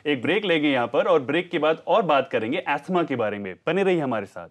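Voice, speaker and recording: A man's voice: on the high side, lively intonation, quick pace, faint music behind. A man hosting a health call-in show, presenting and putting questions to a guest. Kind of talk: TV presenting and interviewing.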